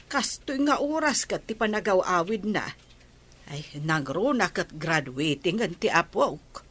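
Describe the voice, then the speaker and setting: A patient old grandmother who speaks softly and soothingly, as a woman acts her out. Her voice sits low for a woman. She is a figure in a homespun radio drama. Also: animated, measured pace, slightly rough, exaggerated voice. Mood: fond, soothing. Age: older.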